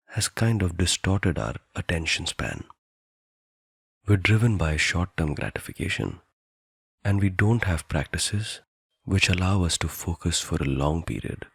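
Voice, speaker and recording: A man guiding a meditation in a soothing, deliberate manner, leaving long pauses between phrases. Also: deep voice, slightly rough, no echo, studio quality. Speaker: meditation guide. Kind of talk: guided meditation narration.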